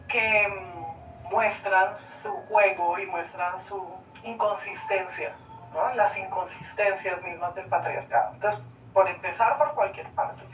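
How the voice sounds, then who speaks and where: A speaker calmly explaining an idea in a talk that is played back during the show. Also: mid-range voice, a little hiss or hum. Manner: thoughtful, explanatory. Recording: dull, distant playback in a roomy hall.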